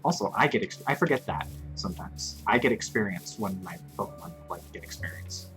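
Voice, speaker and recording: A man's voice: low voice, animated, fairly quick, a bit bright. A man in his twenties, a streamer chatting casually and joking at his own expense while he plays. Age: 20s. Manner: rambling, self-mocking, chatty. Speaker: Twitch streamer. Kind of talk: casual gameplay commentary. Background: game music.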